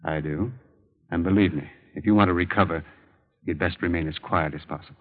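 An actor playing a reserved, evasive doctor in an old radio drama, with a measured, formal delivery and a husky voice. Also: male voice, low voice. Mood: grave. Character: grave, reserved male doctor, middle-aged and evasive. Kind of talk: 1940s radio drama acting. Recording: thin phone-like old radio broadcast.

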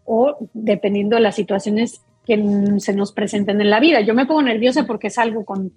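A woman's voice: medium pitch, steady tone, normal speed, a little mellow, breathy edge, no echo, faint music behind. A woman doctor, explaining a topic patiently as a guest on a talk show. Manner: explains patiently, with many fillers and restarts.

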